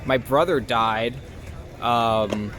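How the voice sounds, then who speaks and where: A man's voice: mid-range voice, extremely slow, background noise. A young American man giving casual, somewhat hesitant interview answers in informal language. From Long Island, United States. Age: young adult. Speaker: actor being interviewed.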